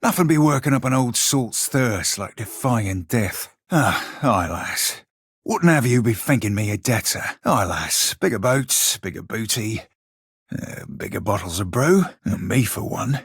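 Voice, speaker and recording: Roguish pirate sailor, rough husky voice, irreverent banter, archaic nautical talk, video game character, very dry studio sound. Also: male voice, on the low side, animated, a little slow, no room sound, heavily acted. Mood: dry, amused. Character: roguish blue fantasy pirate sailor, male, salty and irreverent. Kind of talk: video game voice lines, banter and fight barks.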